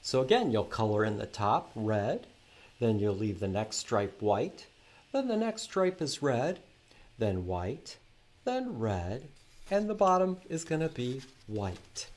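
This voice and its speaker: A man's voice: mid-range voice, sing-song, slow, darkish tone. A man hosting a library storytime, reading aloud and explaining things to young children with lots of simple questions. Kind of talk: children's read-aloud and sing-along.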